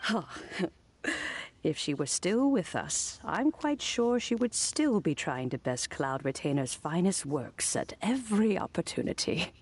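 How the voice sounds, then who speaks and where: Wistful old granny, low measured voice. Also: female voice, big pitch swings, a little hiss or hum. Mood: wistful, fond. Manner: measured, reflective, with soft sighs. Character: kindly, wistful old granny with a gentle dignity. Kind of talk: scripted video game dialogue.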